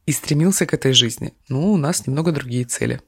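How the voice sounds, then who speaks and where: A man's voice: mid-range voice, fast talker, creaky, over loud music. A man co-hosting a news discussion show, explaining topics steadily and putting questions to a guest.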